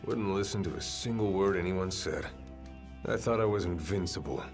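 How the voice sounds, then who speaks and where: An actor playing a seasoned middle-aged warrior who speaks calmly and drily. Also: male voice, low-pitched, moderate pace, faint music behind. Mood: wry. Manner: dry, calm and reflective.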